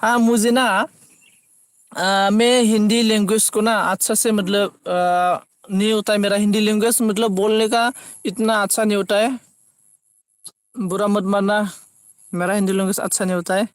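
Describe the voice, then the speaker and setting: High-pitched man, halting livestream chatter. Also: steady tone, clear, resonant tone, no room sound, clean studio sound. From Assam, India. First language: Bodo. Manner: halting, repeats phrases as he reads. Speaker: live streamer. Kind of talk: casual live chat, reading out comments.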